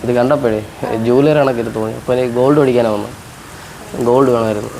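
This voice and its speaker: A man's voice: mid-range voice, fairly even intonation, fast, gravelly, music in the background, poor audio. A man chatting casually and playfully as a prank host, teasing and repeating his questions insistently.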